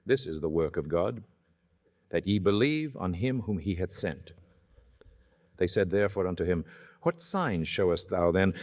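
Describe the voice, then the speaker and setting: Deep voiced guy reading the bible like an old radio drama, solemn and declamatory, kinda muffled lo-fi sound. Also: a little mellow. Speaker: scripture reader.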